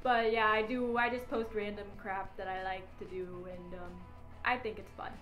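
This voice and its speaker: A woman's voice: mid-range voice, little pitch movement, moderate pace, fairly bright tone, soft background music. A woman chatting casually in an offhand, self-deprecating way. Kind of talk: casual chat. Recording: echoey room.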